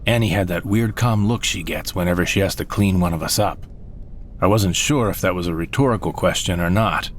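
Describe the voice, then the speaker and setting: A man's voice: low voice, a little fast, a little hiss or hum, lightly acted. A man playing a wistful, droll sidekick who narrates a scripted sci-fi comedy audio drama in the first person. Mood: dramatic.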